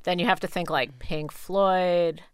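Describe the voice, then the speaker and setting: Low-voiced woman explaining things informally. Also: steady tone, a little slow, clean tone. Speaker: podcast host being interviewed about the show. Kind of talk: animated, conversational explaining.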